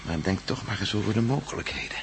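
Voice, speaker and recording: An actor playing a steady, encouraging male prisoner in a radio drama, measured and reassuring. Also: fairly low pitch, gravelly, background noise. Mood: calm, scheming.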